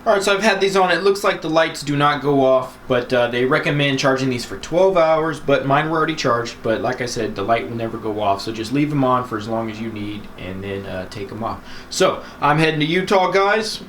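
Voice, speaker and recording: A man's voice: medium pitch, a little fast, hardly any pauses, a bit bright. A man hosting a gadget review, chatting casually and informally as he demonstrates things. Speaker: product review host. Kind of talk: casual hands-on demo chat. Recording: very noisy amateur camera audio.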